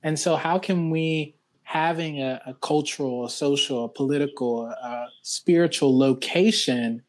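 A man hosting a podcast, asking measured, probing questions. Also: fairly high pitch. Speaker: podcast host. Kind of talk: interviewing on a podcast.

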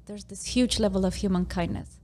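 A woman's voice: mid-range voice, fairly quick, darkish tone. A young adult woman from Argentina and Ecuador, chatting casually as a guest, laughing often and filling gaps with ums. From half Argentinian, half Ecuadorian. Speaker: interview guest. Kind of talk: casual chat.

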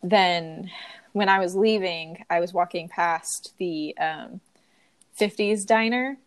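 A woman's voice: fairly low pitch, takes it slowly. A young woman co-hosting a podcast, telling a story in a chatty, filler-heavy way and sniffly from crying. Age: young adult. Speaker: podcast co-host. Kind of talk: casual chat, storytelling.

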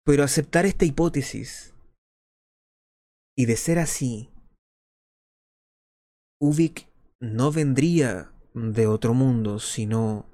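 A man playing a conspiratorial, musing pirate radio host in a radio drama, delivering theatrical monologues full of dramatic pauses. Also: medium pitch, dark tone, close to an everyday voice. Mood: hesitant, pondering.

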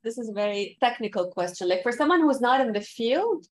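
A woman's voice: on the high side, lively intonation, brisk. A young woman from Lebanon whose first language is Arabic, giving thoughtful, self-correcting answers as a guest researcher in an interview. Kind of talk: academic interview.

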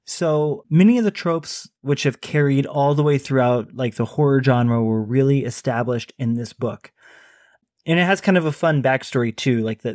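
A man's voice: mid-range voice, darkish tone, dry recording. A man co-hosting a book podcast, talking loosely with many fillers and steering the episode to its close. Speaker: podcast co-host. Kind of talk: casual book chat.

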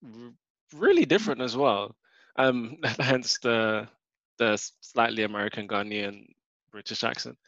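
A man hosts a casual podcast, talking conversationally and guiding the talk with questions. His voice sits at a middling pitch. The recording is muffled and lo-fi, with no room sound. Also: unhurried, a bit bright, no room sound. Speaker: podcast host. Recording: dull, dry lo-fi recording.

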